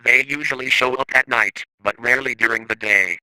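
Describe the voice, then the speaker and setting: Male narrator for analog horror informational piece: formal, instructional, sharply bright tone, dry close sound. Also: mid-range voice, a little fast, clean tone, dry recording, low-quality recording.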